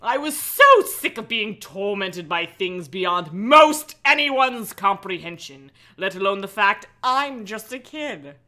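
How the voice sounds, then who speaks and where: A woman livestream host cold-reading aloud with mocking asides, animated, sarcastic and giggly, with casual swearing. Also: mid-range voice, slow, few pauses.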